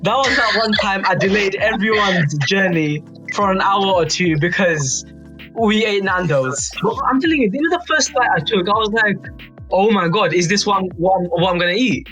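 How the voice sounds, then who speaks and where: High-voiced young British man telling stories. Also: normal speed, music in the background, low-quality recording. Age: young adult. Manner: animated slangy storytelling, repeats himself, seeks agreement with tags. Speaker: youth advisory board member on a podcast. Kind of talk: casual anecdote among friends.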